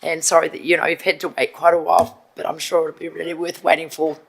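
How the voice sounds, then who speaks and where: A New woman chairing a council committee meeting, hoarse and procedural, with many ums and repeated words. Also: deep voice, level tone, fast talker.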